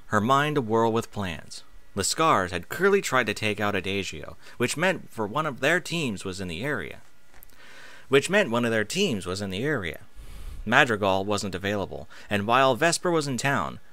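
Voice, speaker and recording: Guy reading a story out loud, dramatic, lowish voice that swings around a lot, no room echo. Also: big pitch swings, moderate pace. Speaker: narrator reading fan fiction aloud. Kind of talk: scripted story narration, dramatic audiobook reading.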